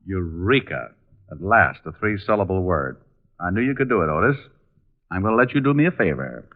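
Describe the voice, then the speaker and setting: Cocky wisecracking gumshoe, lowish voice, sardonic and unflappable, vintage radio mystery on a tinny band. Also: male voice, sing-song, fairly quick. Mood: mocking. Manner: flippant, sardonic, unruffled. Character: wisecracking, cocky male private detective. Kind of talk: 1950s radio detective acting and narration. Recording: thin, band-limited old radio recording.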